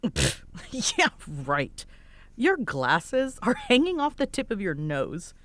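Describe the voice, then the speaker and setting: A woman's voice: medium pitch, huge pitch swings, takes it slowly, exaggerated voice. A young woman playing a grumpy, teasing tsundere roommate in an audio roleplay, with a sarcastic, huffy delivery. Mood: teasing, amused. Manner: sarcastic, huffy, sighing exasperation with soft spots. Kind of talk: roleplay audio drama, conversational acting.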